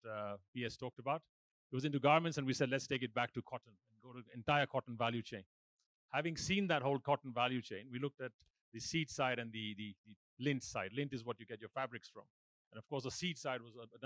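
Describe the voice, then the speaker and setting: A man's voice: medium pitch, quick pace, a bit bright, no room sound. A man with a Kenyan accent, a company chairman explaining his background on a business panel. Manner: explanatory, storytelling. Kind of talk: panel introduction.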